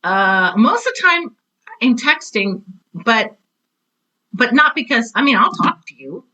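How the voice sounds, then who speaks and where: Older lady in her 60s, high bright voice, chatty and opinionated interview guest. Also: sing-song, unhurried, low-quality recording. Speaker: guest, retired teacher and author.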